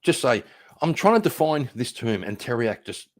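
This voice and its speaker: A man's voice: medium pitch, very animated, normal speed. A man from Northern Ireland chatting casually on a livestream, blunt and profane, with many fillers. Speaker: streamer.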